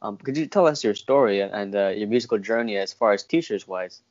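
Low-voiced young man, slightly muffled. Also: fairly quick, a little mellow. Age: young adult. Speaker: interviewer. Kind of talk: casual video-call interview. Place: indoor room at home. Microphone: wired earphone mic on video call. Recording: dull, muffled video-call audio.

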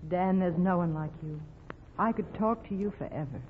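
A woman's voice: fairly low pitch, normal speed, a little hiss or hum, natural voice. An actress playing a devoted, pleading young woman in love, earnest and melodramatic in old radio drama style. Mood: tender. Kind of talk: 1940s radio drama acting. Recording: tinny old radio recording with music.

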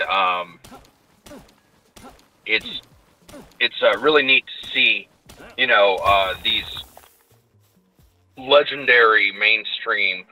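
A man on a phone call from his car, chatting casually, pausing often with "uh" between phrases. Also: fairly low pitch, sharp tone. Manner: hesitant, many "uh" pauses. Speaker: guest on a call.

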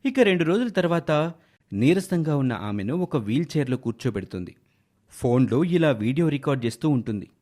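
Animated, higher-pitched man narrating a story. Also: a little fast. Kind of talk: dramatic scripted story narration.

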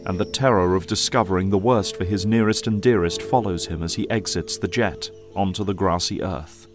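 Low-voiced man narrating dramatically over music. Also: normal speed. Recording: music bed under the voice. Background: music and effects.

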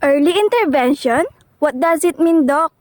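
A woman's voice: sits high, expressive, measured pace, dry recording. A woman playing a concerned, hopeful family member questioning a doctor in an amateur radio drama. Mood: worried.

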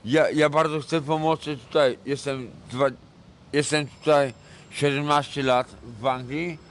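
A middle-aged man from Poland, high and rambling, swearing a lot in a casual talk on a city street at night. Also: steady tone, a little slow, slight background noise. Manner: crude and sweary, rambling, mixes in broken English. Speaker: homeless man interviewed on the street. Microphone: vlogger's handheld camera mic.

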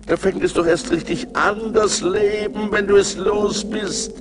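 An actor playing a sinister giant forest spirit in a radio play, coaxing and mocking in a slow, theatrical, creaky voice. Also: male voice, high-pitched, flat delivery. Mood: urgent persuasion. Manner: slow, theatrical, wheedling and scornful. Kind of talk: German radio play acting. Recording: 1980s vinyl radio play with music.

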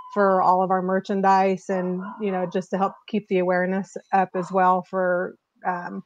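A woman's voice: mid-range voice, a little mellow, no room sound. A woman in her thirties, a charter agent, chatting casually and upbeat on a live video call over earbuds from a small home office. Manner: chatty, upbeat, many fillers. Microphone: wired earbuds on a video call. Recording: slightly muffled video call audio.

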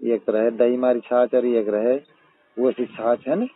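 A man with a husky voice and a Mewati accent, chatting casually in a private phone call. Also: mid-range voice, level tone, measured pace, no echo. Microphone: telephone. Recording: muffled, tinny phone call recording.

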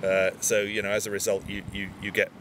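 A man in his forties, from England, chatting casually outdoors on a beach, relaxed with lots of ums and uhs. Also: fairly low pitch, a little fast. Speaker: bushcraft vlogger. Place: outdoors on a sunny beach, windy. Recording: loud wind and sea noise.

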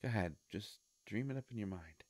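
A man playing a sly devilish shopkeeper in a roleplay audio, coaxing and musing with hesitant pauses. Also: on the low side, lively intonation, a little mellow, no echo. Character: sly devilish male shopkeeper of a wish-granting store. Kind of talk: scripted roleplay audio monologue.

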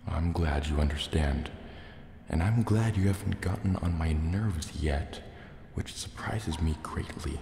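An extremely low, dark-toned sea serpent dragon , played by a man, echoes through a cave. He is huge, proud and wary, murmuring soft threats with deliberate care in an ASMR roleplay. Faint water ambience sits behind the long reverb. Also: gravelly, slight background noise, exaggerated voice. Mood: wary, threatening. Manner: slow, deliberate, threatening yet hesitant. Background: water sounds and cave ambience.

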